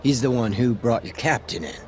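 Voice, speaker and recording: Smug, sly crooked cop played by a man, lively delivery. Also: medium pitch, brisk, a little hiss or hum, close to an everyday voice. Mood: sly, conspiratorial. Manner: breezy, cocky, wry, teasing. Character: smug, sly corrupt male police detective selling information. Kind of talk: English video game dub cutscene acting.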